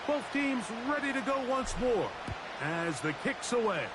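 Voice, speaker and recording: High-voiced game play-by-play announcer. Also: male voice, sing-song, a little slow, heavy background noise. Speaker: play-by-play commentator. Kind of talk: video game play-by-play commentary.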